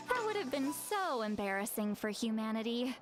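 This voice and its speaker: A woman's voice: a little high, unhurried. An actress voicing a long-lived, theatrical woman in a game who likes to make things sound dramatic. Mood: wry. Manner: theatrical, lively, wry. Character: ancient, dramatic, teasing woman leader. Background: game music.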